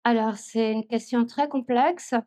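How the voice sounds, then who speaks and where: A woman, an author speaking reflectively at a public literary talk, with frequent pauses. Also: on the high side, steady tone, warm tone. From Switzerland. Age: 50s. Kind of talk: conversational answers at a public talk. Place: festival conference room.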